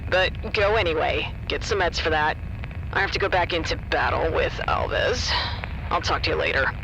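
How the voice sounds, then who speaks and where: An actor playing an irritable but caring boss in an audio drama, speaking bluntly and casually with a sardonic edge. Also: male voice, on the high side, moderate pace, creaky, background noise. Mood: coaxing. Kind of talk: naturalistic audio drama acting.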